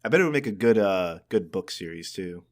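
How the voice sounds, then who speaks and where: A man co-hosting a podcast in relaxed, casual chat, giving short conversational replies. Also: low voice, fairly quick. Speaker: podcast co-host.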